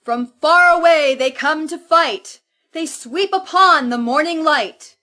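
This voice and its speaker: Stern female cleric, played by an actress, high bright voice, slow solemn declamation for dystopian audio drama. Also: exaggerated voice. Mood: fervent, foreboding.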